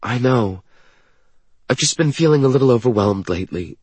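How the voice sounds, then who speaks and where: A man's voice: medium pitch, expressive, normal speed, a little mellow, slightly rough, no echo, pitched above the performer's own voice. A man voicing an anxious, self-doubting young woman in an audiobook, tender and apologetic, with a hesitant, emotional delivery. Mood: uneasy, nervous. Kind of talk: audiobook dialogue acting.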